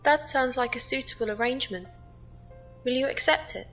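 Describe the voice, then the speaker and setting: A woman acts a cool, logical female shipmate in a space drama. Her voice is fairly high and measured, stiffly formal, with slightly dull sound and faint music. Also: natural voice. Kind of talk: science fiction audio drama acting.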